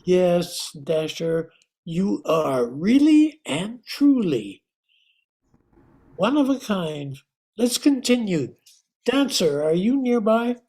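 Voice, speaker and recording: Radio play lead reindeer, female performer: courteous, steady, warm dark timbre, medium pitch, unhurried. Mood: patient. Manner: polite, measured. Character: patient, courteous lead reindeer organizing the team. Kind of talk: amateur radio play acting.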